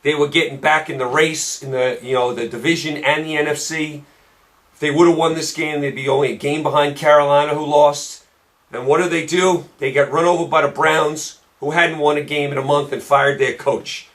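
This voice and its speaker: A man hosting a solo sports picks show, hoarse and losing his voice, talking emphatically and repeating points for stress. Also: on the high side, fairly even intonation, normal speed, a bit bright.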